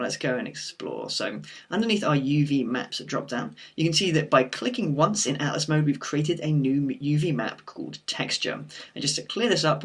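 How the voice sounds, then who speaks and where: A man with a croaky voice from a sore throat, talking through a screen-recorded software tutorial in a steady explanatory way. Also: on the high side. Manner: steady explanatory walkthrough with occasional fillers.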